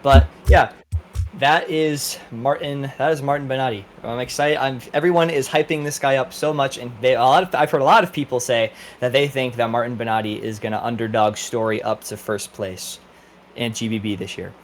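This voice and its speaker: Man, podcast host, medium pitch, casual commentary, informal, enthusiastic. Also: a little fast, faint music behind.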